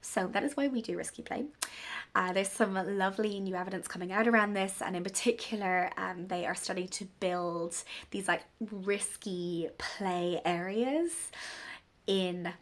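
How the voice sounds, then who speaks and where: A woman in her thirties, a bit bunged up with a cold, chatting casually and rambling gently about parenting in an unplanned vlog. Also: medium pitch, a little slow. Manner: rambling, gentle, warm-hearted musing. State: congested from a cold. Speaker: parenting vlogger. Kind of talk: casual unscripted talk to camera.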